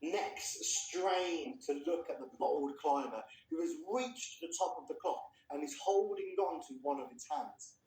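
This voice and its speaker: A man's voice: fairly high pitch, measured pace, hardly any pauses, darkish tone. A man presenting and reading literary fiction aloud as a storyteller. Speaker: reader introducing and reading a story. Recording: echoey room, distant microphone.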